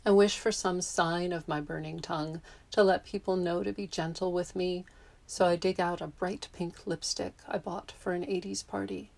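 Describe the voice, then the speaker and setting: A woman in her fifties reads her own essay aloud in a calm, measured way. Her voice sits a little low for a woman. She was born in Japan and grew up in the US. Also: creaky. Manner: reflective, measured. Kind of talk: reading a personal essay.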